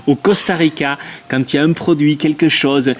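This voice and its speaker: A man's voice: fairly high pitch, steady tone, moderate pace. Blunt, emphatic man vlogging outdoors. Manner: emphatic, blunt, laughs easily. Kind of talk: casual travel vlog chat. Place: outdoors in hot weather.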